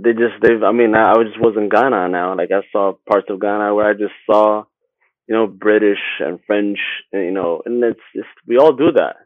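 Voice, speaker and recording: A man from Sweden talking casually as an interview guest, haltingly, often repeating words and rephrasing as he goes. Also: fairly low pitch, steady tone, no room sound, narrow, tinny audio. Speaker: interview guest, agency founder.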